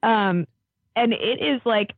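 A woman's voice: a little high, takes it slowly, no room sound. Woman in her early twenties, podcast guest, giggly and enthusiastic, tinny phone-like sound, dry. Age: 20s. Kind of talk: casual chat. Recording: phone-like line.

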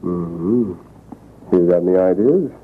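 An actor playing a crooked, guarded frontier freight boss with a folksy, plainspoken manner, in old radio drama style. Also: male voice, low-pitched, animated, slow. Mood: guardedly affable. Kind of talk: 1940s-50s radio drama acting. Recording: tinny, noisy vintage radio recording.

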